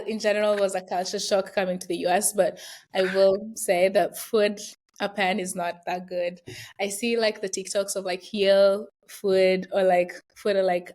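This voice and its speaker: A woman's voice: mid-range voice, fairly even intonation, moderate pace, a little mellow. A young woman with a Kenyan accent, a university student talking casually and reflectively as an interview guest, with frequent fillers. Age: young adult.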